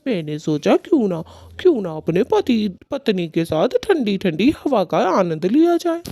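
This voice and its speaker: A woman's voice: medium pitch, big pitch swings, unhurried, no room sound, clean studio sound. A woman voicing a tender, doting male bird husband in a cartoon, speaking affectionately. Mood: tender, content. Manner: affectionate, gentle. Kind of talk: Hindi cartoon voice acting.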